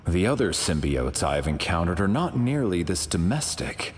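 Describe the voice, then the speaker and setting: An actor playing a noble, solemn warrior god in a video game, speaking in a formal, stately, heroic way. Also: male voice, low-pitched, very animated, moderate pace, a little hiss or hum. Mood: reflective.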